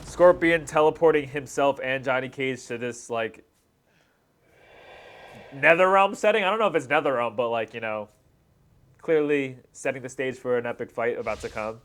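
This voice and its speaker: A man's voice: medium pitch, moderate pace, fairly bright tone. A man in his thirties giving casual reaction commentary as a video host, talking like an enthusiastic fan with slangy asides.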